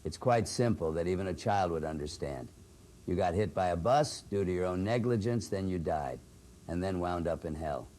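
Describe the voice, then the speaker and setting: Man playing a low, mellow, condescending old clerk. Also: expressive, normal speed. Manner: precise, condescending. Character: prim, condescending gray-haired old male clerk of hell. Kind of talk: audio horror anthology drama acting.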